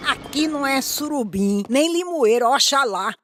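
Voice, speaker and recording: A shrewd, questioning village woman from an animated drama, with a Brazilian accent. The high voice rises and falls a lot as it delivers verse, with a little ambient noise behind it. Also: normal speed. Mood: probing, dismissive.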